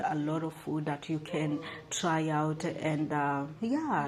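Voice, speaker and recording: A young woman from Uganda talking casually to her viewers about her travels, with lots of fillers and asides to "guys". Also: low voice. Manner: chatty, many fillers, addresses viewers as guys. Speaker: travel vlogger. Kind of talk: casual chat to camera.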